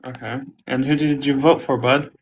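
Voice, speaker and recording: A man moderating an online debate panel, blunt and brash and quick to swear, speaking over a voice call. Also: mid-range voice, steady tone, lo-fi sound, slight background noise.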